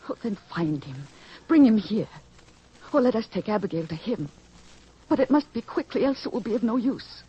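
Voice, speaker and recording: Frantic mother, very lively pitch, tearful pleading, dull old radio sound. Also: moderate pace, slightly rough. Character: frightened mother of a sick child, pleading. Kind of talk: 1940s radio acting. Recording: old lo-fi radio recording with hiss.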